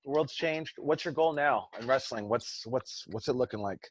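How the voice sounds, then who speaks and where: A man's voice: medium pitch, moderate pace, husky, no echo. A man in his forties, a wrestling coach running a casual online class chat, loose and joking with casual swearing over a video call. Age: 40s.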